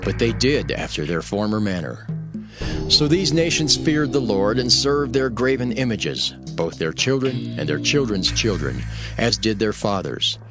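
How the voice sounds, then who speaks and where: A man's voice: fairly low pitch, very animated, unhurried. A man narrating scripture as a solemn dramatized story. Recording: music bed under the voice.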